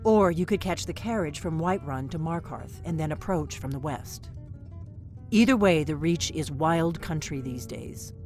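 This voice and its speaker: An actress voicing a stern, businesslike veteran female agent in a video game, brisk and no-nonsense. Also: sits low, lively intonation, darkish tone, soft background music. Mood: decisive.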